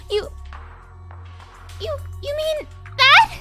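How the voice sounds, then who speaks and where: A woman playing a timid, fragile, guilt-ridden youngster in an audio drama, with halting, delicate delivery. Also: extremely high pitch, very animated, loud music behind the voice, full character voice. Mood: anxious pleading.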